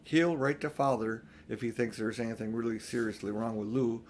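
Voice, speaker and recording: A man's voice: mid-range voice, steady tone, brisk, slight background noise, lightly acted. A man reading a storybook, voicing an indignant, protective older brother who scolds emphatically. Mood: earnest, worried.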